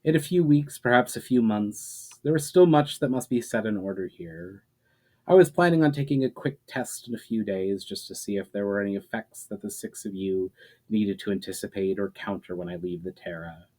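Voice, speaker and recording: A man's voice: lowish voice, normal speed, darkish tone, lightly acted. Man reading as grave, troubled male mage. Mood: calm, earnest. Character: troubled, earnest male mage haunted by visions.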